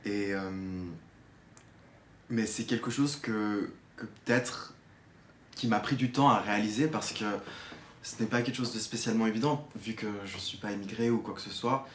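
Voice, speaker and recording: Low-voiced young man, echoey room. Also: normal speed. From Geneva, Switzerland. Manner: hesitant, searching, repeats words while explaining. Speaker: drama student giving a testimony. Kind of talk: unscripted personal testimony. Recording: echoey room with faint noise.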